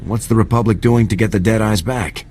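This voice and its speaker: An actor playing a steady, heroic male squad commander in a video game, concise and commanding. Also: fairly low pitch, fairly quick, slight background noise, lightly acted. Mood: firm, resolute. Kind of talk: scripted video game cutscene acting.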